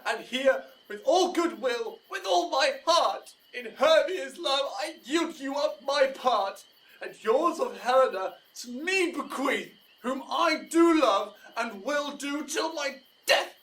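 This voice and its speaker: An actor playing an ardent, fickle young lover in a Shakespearean audio drama, speaking verse with theatrical emphasis. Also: male voice, very high-pitched, expressive, takes it slowly, fairly bright tone, full character voice. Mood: earnest. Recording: voice distant from mic.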